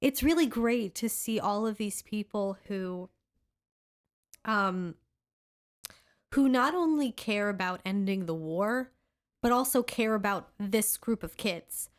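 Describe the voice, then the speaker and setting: A woman's voice: medium pitch, lively intonation, slow pace, long pauses. A woman co-hosting a podcast in casual chat, sounding congested and rambling with lots of fillers. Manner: chatty, rambling with many fillers and restarts. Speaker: podcast co-host.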